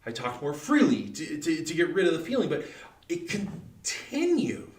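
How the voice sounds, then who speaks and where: A man playing a nervous, gloating murderer in a theatrical, halting horror reading that swings from hushed to frantic. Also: a little high, wildly swooping pitch. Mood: growing unease. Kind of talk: dramatic reading of Victorian gothic horror. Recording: roomy, echoing, distant-mic livestream.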